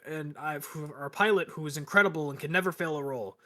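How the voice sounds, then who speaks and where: Bright, higher-voiced joking male gamer. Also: brisk. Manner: joking, self-mocking. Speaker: player in a tabletop roleplaying game. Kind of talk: casual banter and loose in-character recap.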